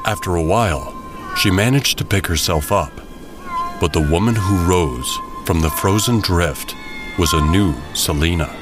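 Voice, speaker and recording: A male narrator with a low voice tells the story of an audio drama. His scripted delivery is dramatic, set over a clear music bed. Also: unhurried.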